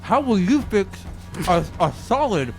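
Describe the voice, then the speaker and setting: A man's voice: sits high, sing-song, unhurried, soft background music. A man playing a tabletop game on a podcast, slipping between casual banter and role-play.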